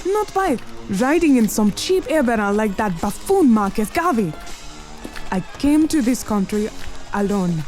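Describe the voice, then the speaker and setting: A woman's voice: on the high side, very animated, a little slow, darkish tone, faint music behind. An actress playing an imperious, cunning gambling queen of 1920s Harlem in a period audio drama, proud and commanding. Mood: proud, scornful. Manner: commanding, haughty.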